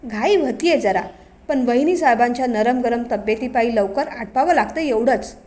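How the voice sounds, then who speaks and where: A woman doing a loud scheming village chief. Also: on the high side, normal speed, lightly acted. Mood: commanding. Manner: loud, commanding, mocking. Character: domineering older male village chief, scheming.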